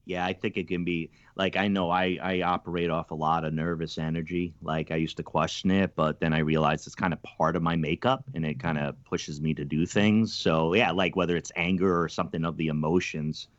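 A man hosting a podcast interview, talking in a halting way with many ums and restarts. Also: low voice, steady tone, no room sound, highs cut off. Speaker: podcast host.

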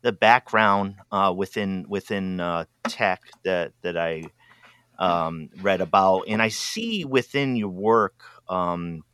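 A man's voice: low voice, takes it slowly, fairly bright tone, clear, resonant tone. A man interviewing an author, asking questions hesitantly and fumbling for words. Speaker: interviewer, host. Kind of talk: casual interview conversation.

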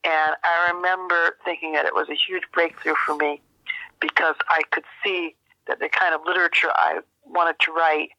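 An older woman giving a reflective interview, speaking thoughtfully and haltingly with careful phrasing. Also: low voice, little pitch movement, sharp tone, no room sound, highs cut off. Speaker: interview guest, journalist and author.

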